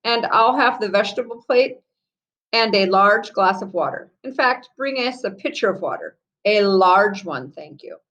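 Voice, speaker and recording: A woman's voice: mid-range voice, expressive, moderate pace, a bit bright, lightly acted. A woman voicing a cheerful, dreamy storybook mother with lilting delight. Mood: breezy.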